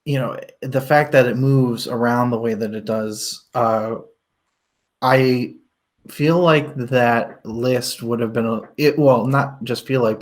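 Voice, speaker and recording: A man co-hosting a casual book talk show, rambling and hesitant, often laughing. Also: mid-range voice. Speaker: book podcast co-host.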